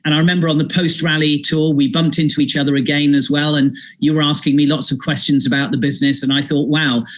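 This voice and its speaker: Male host, a touch high-voiced, chatty and effusive, video call. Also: flat delivery, fast, hardly any pauses. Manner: chatty, effusive, many fillers. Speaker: host and interviewer. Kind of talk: online event hosting.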